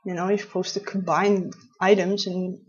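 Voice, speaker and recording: Husky Dutch-accented woman in her late 20s. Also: on the low side, level tone, moderate pace. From Netherlands. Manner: chatty, rambling, with many restarts. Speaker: vlogger. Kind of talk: casual talk to camera. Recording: dull lo-fi recording.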